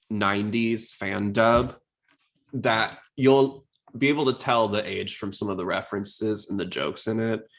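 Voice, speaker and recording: A man hosting a podcast, talking informally and explaining things in a casual style. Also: lowish voice. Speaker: podcast host.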